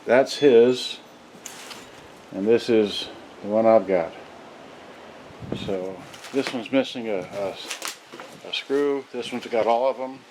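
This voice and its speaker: A man's voice: on the low side, takes it slowly, long pauses. A man giving a casual, unscripted unboxing demonstration, rambling and muttering to himself as he works. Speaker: vlogger showing vintage electronics. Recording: roomy, distant mic, loud background noise.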